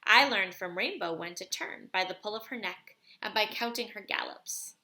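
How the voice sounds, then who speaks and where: A woman's voice: medium pitch, normal speed, sharp tone. A woman reading a children's story aloud expressively. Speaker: storybook reader.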